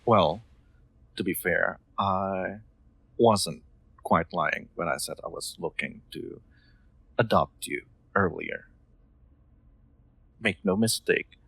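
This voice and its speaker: Man acting as kind soft-spoken master, low creaky voice, gentle formal phrasing, hesitant, intimate audio roleplay. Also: slow, pauses often, no room sound, exaggerated voice. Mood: gentle, earnest.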